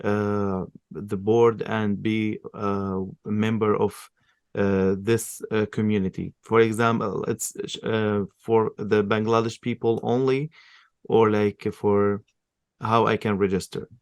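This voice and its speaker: A man's voice: fairly low pitch, level tone, slow, darkish tone, clear, resonant tone, no room sound, clean studio sound. A man hosting an interview, polite and a little hesitant with fillers as he asks questions. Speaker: interviewer, host. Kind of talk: hosted interview.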